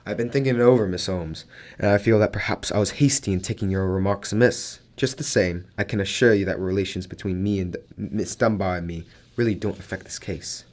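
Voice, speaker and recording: Guy doing a proud bossy millionaire for a radio play, lowish voice, formal and blustery. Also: fairly quick, a little mellow, lightly acted. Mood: grudging, confiding. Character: proud, overbearing wealthy man, threatening, used to obedience. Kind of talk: radio drama acting.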